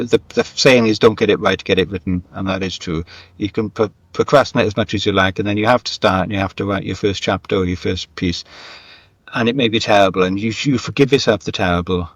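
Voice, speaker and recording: A man's voice: lowish voice, no echo. A man speaking as an interview guest in a conversational style, thinking aloud and often repeating his words. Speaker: guest, screenwriter and novelist.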